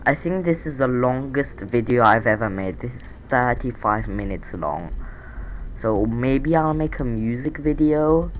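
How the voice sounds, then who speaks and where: A man's voice: mid-range voice, unhurried, slight background noise. A man with a sore throat and a nasal voice, rambling through casual game commentary with many fillers and restarts. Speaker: gameplay commentator.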